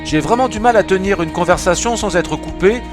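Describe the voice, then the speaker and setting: A man with a high voice reads viewer questions from a script. Music plays clearly behind him as he announces each one. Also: fairly quick. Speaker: question reader for a web show.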